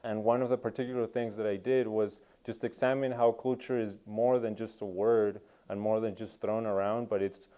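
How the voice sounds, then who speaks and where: A man's voice: lowish voice, flat delivery, normal speed, hardly any pauses, warm tone, clean tone. A young man from Peru, a student talking through his research in an interview, thoughtful and full of ums and uhs. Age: young adult. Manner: reflective, many ums and uhs. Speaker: anthropology student.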